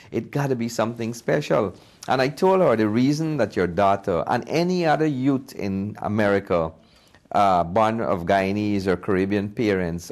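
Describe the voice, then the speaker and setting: A man being interviewed as a filmmaker, answering earnestly and conversationally, repeating phrases for emphasis. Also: lowish voice. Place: TV studio.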